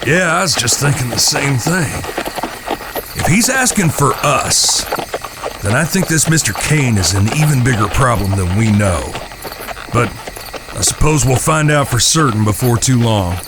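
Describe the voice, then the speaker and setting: An actor playing a stern, protective eldest brother leading a gang in an Old West audio drama, plainspoken and commanding. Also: male voice, medium pitch, expressive, measured pace. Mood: firm, dry. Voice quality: gravelly. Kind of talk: audio drama western acting. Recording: noisy track with cricket-filled outdoor ambience.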